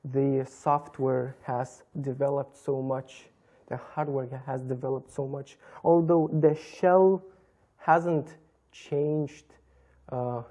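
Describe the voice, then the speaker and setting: A young man with a Lithuanian accent, answering interview questions as a guest in a measured, explanatory way. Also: medium pitch, fairly even intonation, mellow. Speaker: computer business guest. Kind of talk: interview talk. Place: TV studio in a hotel.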